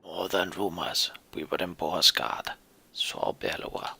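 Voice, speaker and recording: Male performer as menacing giant sellsword leader for fantasy game; hard forceful edge, rough husky growl, terse and distrustful. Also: low voice, lively intonation, a little slow, voice pushed down, full character voice. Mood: blunt. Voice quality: gravelly, husky. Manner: curt, threatening. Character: gruff, menacing, mistrustful giant horned mercenary leader.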